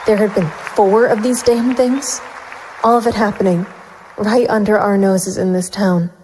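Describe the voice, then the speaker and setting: A woman's voice: medium pitch, steady tone, measured pace, darkish tone, some chatter behind, a little hiss or hum, close to an everyday voice, rough recording. A woman playing a wary, bitter private investigator, narrating a noir audio drama in the first person. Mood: wary, cynical.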